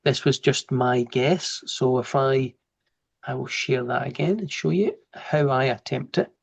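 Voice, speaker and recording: A man with a broad Glasgow accent leads a live online training session. His voice is pitched in the middle and comes through a video call microphone. He explains things in a relaxed, informal way and talks through each step as he works. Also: a little slow, creaky, breathy edge. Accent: Glasgow Scottish. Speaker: software trainer.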